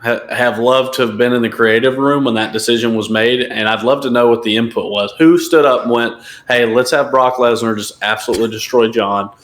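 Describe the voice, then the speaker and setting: A man's voice: mid-range voice, fairly even intonation, fairly quick, few pauses, fairly bright tone. A man co-hosting a casual podcast, giving emphatic, opinionated takes. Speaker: podcast co-host. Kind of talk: casual wrestling podcast chat. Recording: roomy echo.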